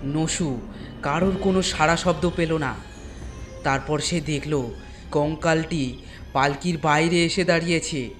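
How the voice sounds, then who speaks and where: A man narrating a scripted horror story in a deliberate way, with dramatic pauses between phrases. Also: fairly high pitch.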